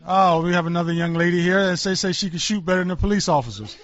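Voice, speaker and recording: Higher-pitched chatty male radio host. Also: fast talker, fairly bright tone, lo-fi sound. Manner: chatty, repeats guests' answers back. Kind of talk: casual on-location interview. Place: inside a sport shop with shooting range.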